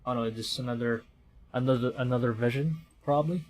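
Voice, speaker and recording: A man's voice: medium pitch, quick pace, a little mellow. A man from the Philippines, a streamer reading a horror visual novel aloud in a dramatic storytelling manner, with commentary.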